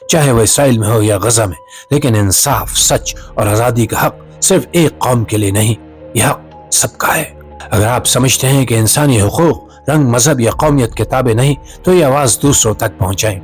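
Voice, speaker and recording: A man delivering scripted dramatic narration as a host, in a solemn, impassioned and rhetorical manner. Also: mid-range voice. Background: clear music bed under the voice.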